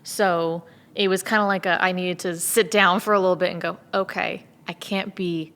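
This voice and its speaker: A woman voice actor chatting casually about her craft in a giggly, animated way. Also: fairly low pitch, moderate pace. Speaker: voice actor with theater background. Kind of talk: casual chat about acting process.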